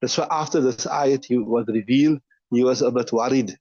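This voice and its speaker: A man's voice: mid-range voice, moderate pace, darkish tone, dry recording, muffled recording. A man teaching a hadith class, reading each line aloud and then explaining it step by step.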